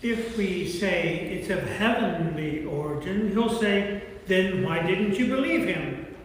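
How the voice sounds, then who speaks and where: A man acts out a suspicious, hostile temple leader in a staged scripture reading. His high voice comes from well back in a hugely echoey church with faint noise. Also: expressive, slow pace, far from the mic.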